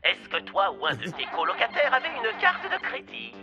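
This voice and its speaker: A man's voice: sits high, huge pitch swings, moderate pace, full character voice. A man voicing a zany, slick online quack doctor in a cartoon, with showy quiz-host sales patter and a radio-like filter. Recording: dull lo-fi sound, music behind.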